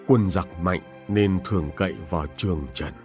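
Man acting a very deep, grave old commander. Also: a little mellow, faint music behind, natural voice. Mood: solemn. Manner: grave, measured, instructive. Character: wise, aged Vietnamese grand commander giving solemn strategic counsel. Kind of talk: historical cartoon voice acting.

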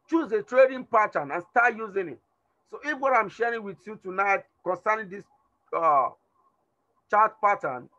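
Hoarse, tired man teaching a trading class. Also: sits high, pushed and bright, muffled recording. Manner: repetitive, urging, asks the class to respond. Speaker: trading instructor. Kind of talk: live online class over a chart screen.

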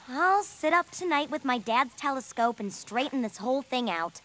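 A woman's voice: high-pitched, expressive, measured pace, background noise. A woman voicing a bossy, sarcastic, no-nonsense girl in a cartoon. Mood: exasperated. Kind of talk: animated cartoon acting.

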